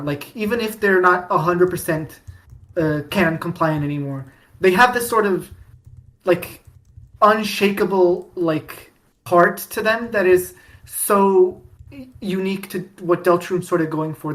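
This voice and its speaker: A woman with a fairly low voice hosts a casual fan discussion. She speaks haltingly, often doubling back to restart a phrase before carrying on. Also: fairly bright tone. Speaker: podcast host.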